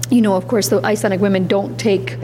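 A woman who heads a heritage museum, chatting in an animated way during an interview. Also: medium pitch, fast talker, long reverb tail, slight background noise. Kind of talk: casual interview.

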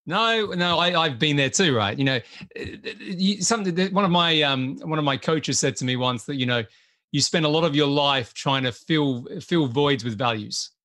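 A man's voice: fairly high pitch, normal speed, pushed and bright. A man hosting an interview in a casual, conversational way, often repeating words as he starts a sentence. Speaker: podcast host.

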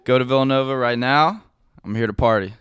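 A man's voice: medium pitch, a little fast, fairly bright tone, clear, resonant tone, no echo. A young man talking brashly and jokingly, away from the mic. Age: young adult.

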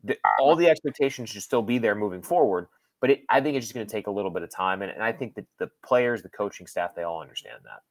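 A man working as a sports broadcaster gives conversational analysis as a guest, thinking aloud in a halting way with repeated phrases. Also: on the low side, expressive, no room sound, low-quality recording.

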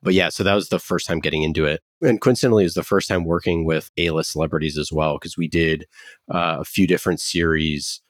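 A man's voice: low voice, fairly even intonation, quick pace, no room sound, studio quality. An American man telling his story as an interview guest in a conversational, explanatory way. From Oakland, United States. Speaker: podcast company founder, interview guest.

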